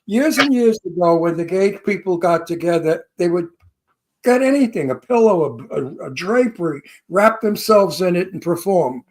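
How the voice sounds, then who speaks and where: A man's voice: high-pitched, animated, normal speed, darkish tone, rough recording. A man in his eighties co-hosting a celebrity interview show, talking in an effusive, emphatic way with lavish compliments and restarted phrases. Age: 80s. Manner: repeats words when starting sentences. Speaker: co-host.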